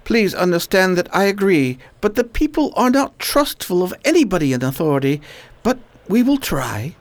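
A woman's voice: fairly low pitch, big pitch swings, moderate pace, no room sound. A woman playing a weary, dutiful educated man in a radio play, terse and resigned. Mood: grave, stern.

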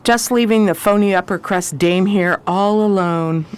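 A woman's voice: medium pitch, normal speed, smooth, close to an everyday voice. A woman playing a cool, breezy, slangy 1920s adventuress in a crime radio play. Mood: mocking. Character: cool, sly 1920s adventuress who loves a gamble. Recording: car engine noise behind.

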